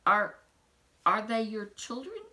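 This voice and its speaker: A woman acts out a kindhearted young storybook prince. The voice is pitched up and very animated, with gentle, earnest phrasing that stumbles a little in its eagerness. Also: takes it slowly, full character voice. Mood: gentle, concerned.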